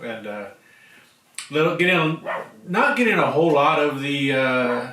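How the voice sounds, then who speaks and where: A man's voice: a little high, animated, a little slow, pushed and bright, distant mic. A man co-hosting a podcast, talking casually as he tastes and describes beer. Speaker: podcast co-host. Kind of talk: casual beer-tasting chat. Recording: very noisy, roomy, off-mic.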